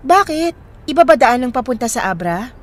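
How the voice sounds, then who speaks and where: A woman's voice: high-pitched, sing-song, moderate pace. An actress playing a friendly, inquisitive young woman in a radio drama, speaking casually. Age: young adult. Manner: casual, conversational. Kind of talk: naturalistic radio drama dialogue in Tagalog.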